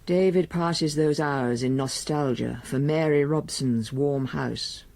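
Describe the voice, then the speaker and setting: A man giving measured, scripted literary narration as storyteller of a period radio drama. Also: a little high, creaky, darkish tone. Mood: measured, evocative.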